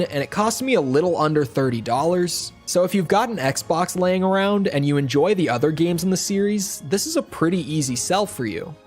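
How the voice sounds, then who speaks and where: A man with a slightly hoarse voice, reading a scripted video game review in a casual, conversational way. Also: fairly high pitch. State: slightly hoarse, near losing his voice. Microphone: home voiceover mic.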